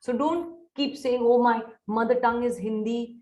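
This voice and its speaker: A middle-aged woman with an Indian English accent gives an online soft skills lecture to students. She coaxes them and keeps checking whether they are following. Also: fairly high pitch, fairly even intonation, normal speed, muffled recording. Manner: coaxing, mixes Hindi into English, checks on students often. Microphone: video call.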